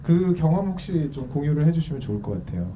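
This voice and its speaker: A man interviewing a guest, asking his questions in a polite, measured way. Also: on the high side, sing-song. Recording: distant mic with faint noise.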